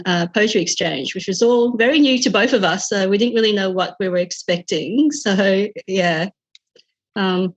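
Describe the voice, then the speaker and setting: An Australian-accented woman talks over a video call in an easy, conversational way. She speaks fluently and in a friendly tone about her poetry. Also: mid-range voice. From Vietnam, raised in Australia. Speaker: poet and critic. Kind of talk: casual video call chat.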